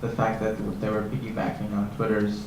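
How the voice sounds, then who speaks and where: A man's voice: on the low side, monotone, fairly quick, a little mellow. A man on a conference panel, explaining in a loose, conversational way. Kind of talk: panel discussion. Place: conference hall. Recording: echoey hall from far off, faint noise.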